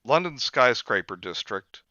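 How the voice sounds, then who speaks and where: A man reading a book aloud on a comedy stream, sarcastic and mocking, with slow readings broken up by rants. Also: medium pitch, very animated, sharp tone, no room sound, dull audio. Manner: sarcastic, mocking, reads passages slowly then rants.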